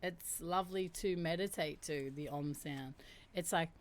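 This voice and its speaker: Deep-voiced woman hosting a livestream. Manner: chatty, laughs and reacts to chat; slows down to chant drawn-out syllables. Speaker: livestream host. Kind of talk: casual chat mixed with reading aloud. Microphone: streaming mic.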